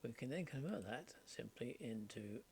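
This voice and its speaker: A man narrating an informal software tutorial, explaining step by step in short, halting phrases. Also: mid-range voice, gravelly, breathy edge. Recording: steady background noise, mouse clicks. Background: faint noise and clicking.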